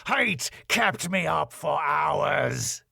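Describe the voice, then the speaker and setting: Man putting on a raging pompous ballroom boss, high strained voice, bellowing tirades, dry close sound. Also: expressive, very slow, gravelly, breathy edge, dry recording, full character voice. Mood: grimly slow. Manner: furious, theatrical, shouting tirades. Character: raging pompous middle-aged male ballroom owner.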